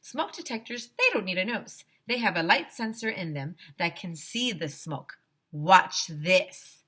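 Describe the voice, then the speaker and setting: Woman acting storybook safety lady, bright, emphatic, instructive, eccentric, lively swinging pitch. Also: measured pace, breathy edge, exaggerated voice.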